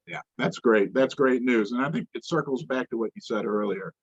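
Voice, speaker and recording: A man's voice: mid-range voice, fairly quick, husky, dry recording. A man hosting an interview, asking questions in an easygoing way and giving frequent short acknowledgements. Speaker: interview host. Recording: dull, slightly muffled audio.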